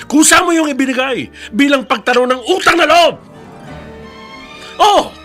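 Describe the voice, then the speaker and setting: A stern boss in a radio melodrama. He scolds with curt authority in a high, forceful voice that swings widely in pitch. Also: exaggerated voice. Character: stern, unyielding male boss scolding his employees. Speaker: radio drama actor. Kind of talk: radio drama acting.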